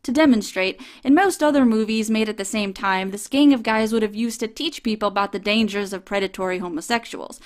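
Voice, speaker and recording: A woman's voice: mid-range voice, a little fast, hardly any pauses. Sardonic woman film reviewer. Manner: sardonic, swears casually.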